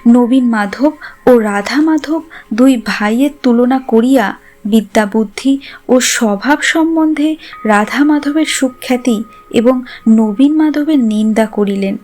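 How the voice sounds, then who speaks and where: A woman's voice: fairly high pitch, darkish tone. A woman narrating a literary story a script.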